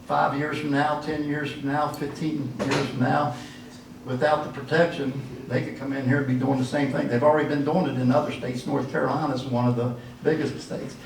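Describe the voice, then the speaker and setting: A man's voice: mid-range voice, flat delivery, brisk, creaky, background noise. An older man giving a folksy, rambling campaign speech at a dinner. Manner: folksy, rambling, with frequent fillers. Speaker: political candidate. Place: church hall at a dinner event. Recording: echoey hall from far off.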